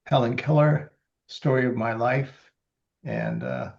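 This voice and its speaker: Gravelly older man reading poetry. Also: mid-range voice, steady tone, highs cut off. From Los Angeles, USA. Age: 70s. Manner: reads verse slowly and deliberately, halting and chatty between poems. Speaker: poet reading his libretto. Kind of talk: poetry reading with casual asides.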